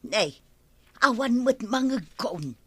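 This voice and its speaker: Older neighbor lady, slow and lively-pitched, grumbly, ilocano radio drama. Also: slightly rough, no room sound, exaggerated voice. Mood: mild complaint.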